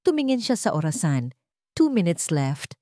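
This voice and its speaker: A woman's voice: lowish voice, sing-song, normal speed, dark tone, dry recording, studio quality. A woman reading a scripted dramatic story as its narrator.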